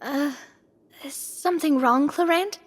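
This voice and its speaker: A woman's voice: high voice, measured pace. A woman voicing an earnest, inquisitive young female adventurer in a video game.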